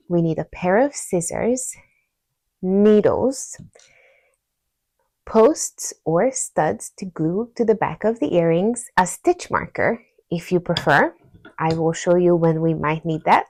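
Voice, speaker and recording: Slow-talking Hungarian-accented woman, gravelly low voice. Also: darkish tone, no room sound. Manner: slow, patient, talks through each stitch aloud. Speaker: crochet tutorial host. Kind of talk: step-by-step craft tutorial.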